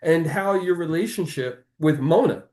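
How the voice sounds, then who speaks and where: A male interview host with a fairly high voice speaks conversationally. He sets things out deliberately and wordily, in the manner of someone making an announcement. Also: a little mellow. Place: remote call, recorded on a backup device.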